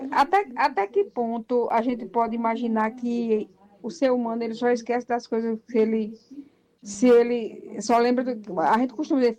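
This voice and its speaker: A woman's voice: a little high, darkish tone, rough recording. A woman in her sixties leaving a rambling, emphatic voice message for a radio show, repeating herself as she talks. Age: 60s. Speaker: radio listener sending a voice message. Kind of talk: recorded voice message.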